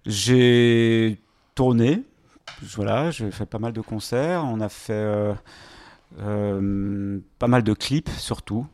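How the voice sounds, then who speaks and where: Musicien invité détendu qui hésite. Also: male voice, lowish voice, takes it slowly. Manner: relaxed, hesitant, laughs easily. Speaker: musician guest. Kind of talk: casual interview answers.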